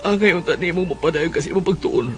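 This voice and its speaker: A man's voice: on the high side, expressive, quick pace, over music, lightly acted. A man playing a tender, sorrowful, regretful father with a Cebuano Bisaya accent in a radio drama. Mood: regretful, sad.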